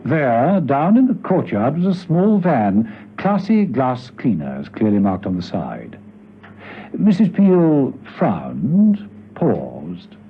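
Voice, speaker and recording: A man acting as the narrator of a spy radio serial, telling the story in a measured, dramatic way. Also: mid-range voice, wildly swooping pitch, husky, exaggerated voice. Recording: dull, noisy old radio recording.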